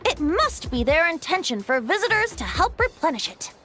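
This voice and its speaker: Lilting, high-voiced boyish bard. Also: female voice, big pitch swings, normal speed, over music. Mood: mock-innocent. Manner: lilting, theatrical, poetic. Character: playful, whimsical young male bard, teasing and carefree. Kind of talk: anime game voice acting.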